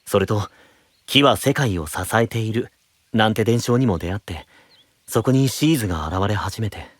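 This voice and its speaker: Man playing a breathy, thoughtful young knight. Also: on the low side, dry recording, close to an everyday voice. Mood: reflective. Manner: soft, measured, reflective explaining. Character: calm, thoughtful young male knight, secretive but gentle. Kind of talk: anime-style voice drama acting.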